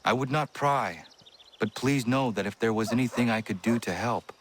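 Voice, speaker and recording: Man acting stern young game hero, earnest, reserved, formal, measured delivery. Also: mid-range voice, slight background noise, lightly acted. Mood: gentle concern. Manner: formal, measured, serious phrasing. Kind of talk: video game character dialogue.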